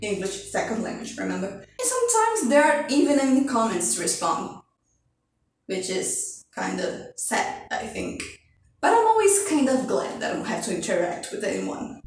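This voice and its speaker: A young Brazilian woman with a Brazilian Portuguese accent chats to her camera in a candid, self-mocking way. Her voice sits a little high and comes from a step back from the mic. The room echoes heavily, and music plays clearly under her. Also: moderate pace, distant mic, a little hiss or hum. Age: young adult. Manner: self-deprecating, chatty, animated swings. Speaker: vlogger. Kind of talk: candid personal vlog monologue.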